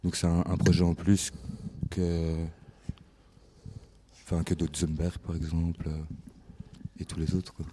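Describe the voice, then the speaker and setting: A man's voice: low voice, flat delivery, unhurried, pauses often, mellow, a little hiss or hum. A man who lives in a squat and makes art, answering questions tersely with hesitant fillers. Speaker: interviewee, squat resident and artist. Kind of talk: casual interview answer.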